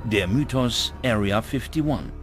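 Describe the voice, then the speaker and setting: A man reading scripted documentary narration in a measured, dramatic manner. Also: lowish voice, lively intonation. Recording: music under the voice. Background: background music.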